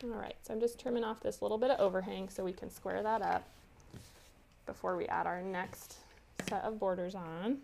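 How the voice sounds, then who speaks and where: A woman's voice: medium pitch, fairly even intonation, normal speed, vocal fry, a little mellow, a little hiss or hum. A woman hosting a live quilting demonstration, chatty and giggly, explaining each step as she sews. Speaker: quilting tutorial host.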